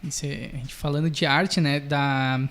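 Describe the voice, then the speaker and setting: A man's voice: on the high side, fairly even intonation, normal speed, a bit bright. A man hosts a podcast. His talk is informal and conversational, offering thoughts and turning questions over to the others. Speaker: podcast host. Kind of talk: casual podcast discussion.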